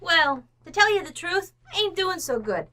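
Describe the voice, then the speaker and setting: A woman's voice: very high voice, big pitch swings, moderate pace, sharp tone, exaggerated voice. A woman playing a brash working-class housekeeper in an old-time radio comedy, blunt and slangy in her speech. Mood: brassy, complaining.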